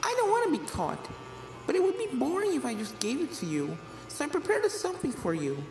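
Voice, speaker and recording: A woman's voice: high voice, big pitch swings, brisk, darkish tone, reverberant, a little hiss or hum, voice pushed up. A woman voicing a playful, chatty newborn ghost filly with a sweet childlike manner in a story reading.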